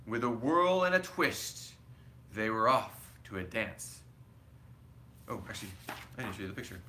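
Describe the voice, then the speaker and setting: A man's voice: fairly low pitch, very animated, measured pace, pushed and bright, slight background noise. A man reading a storybook aloud to children expressively, with simple asides explaining pictures and words.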